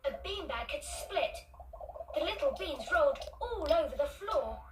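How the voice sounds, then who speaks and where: A woman narrating children's stories in an expressive, deliberate storybook manner. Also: high-pitched, very noisy. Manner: animated, deliberate storybook delivery. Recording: roomy, distant, loud music behind. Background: loud music.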